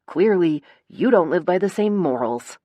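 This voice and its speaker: A woman acting out an angry young man in an audiobook, protective and bitter. The voice is low and close, with cold, clipped words pushed through a tight jaw. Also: animated, moderate pace, mellow, no room sound. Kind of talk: audiobook character dialogue.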